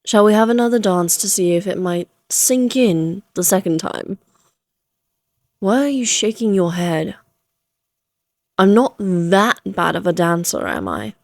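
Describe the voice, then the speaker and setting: A woman's voice: mid-range voice, very animated, unhurried, dry recording. A woman playing a playful, flirtatious immortal vampire in a Victorian fantasy audio roleplay, teasing in genteel, archaic phrasing.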